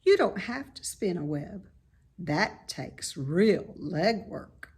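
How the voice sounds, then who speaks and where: A woman's voice: fairly low pitch, expressive, slow pace, a little mellow, breathy edge, heavily acted. A woman voicing a wise, patient female spider from a storybook, precise and instructive in manner. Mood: coaching, kindly.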